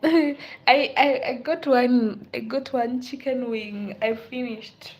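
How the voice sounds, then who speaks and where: A woman chats with her live-stream viewers in a husky, breathy voice, pitched a little high. She talks slowly and softly, tired and unwell, laughing often and slipping into whispers. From Kenya. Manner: soft-spoken, laughs often, drifts into whispers. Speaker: livestreamer. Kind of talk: casual live-stream chat reading viewer comments.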